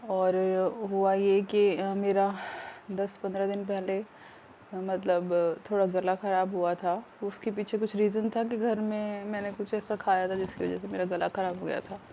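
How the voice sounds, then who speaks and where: A woman from India, ill with a sore throat, talking to her viewers in a hesitant, subdued way with many pauses and fillers. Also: mid-range voice, level tone, warm tone, slight background noise. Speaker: vlogger. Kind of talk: casual vlog update to viewers.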